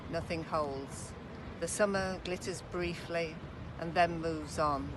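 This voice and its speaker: Male poetry reader, fairly high voice, very unhurried, over noticeable background noise. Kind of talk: poetry recitation.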